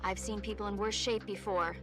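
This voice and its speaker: An actress playing a medical advisor on the radio, calmly and patiently talking an injured agent through treating his wounds. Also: medium pitch, a little fast, music in the background, lightly acted. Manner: calm, patient, instructive. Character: calm female field medic on radio. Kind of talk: video game cutscene acting.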